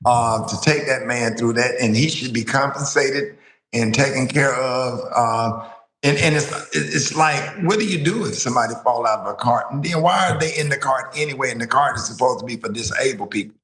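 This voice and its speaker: A man co-hosting a commentary show, reacting in an animated way and repeating words as he talks. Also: mid-range voice, normal speed, echoey room, low-quality recording. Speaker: co-host. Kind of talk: casual commentary.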